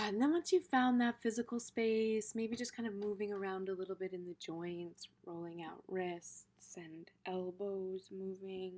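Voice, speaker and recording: A woman teaching a gentle guided meditation in an informal, tentative way, pausing in the middle of her phrases. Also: mid-range voice, fairly even intonation.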